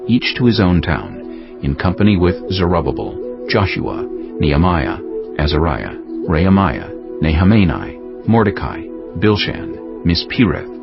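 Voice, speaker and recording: Deep-voiced man narrating scripture formally. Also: vocal fry. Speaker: audiobook narrator. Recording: dull sound with music underneath.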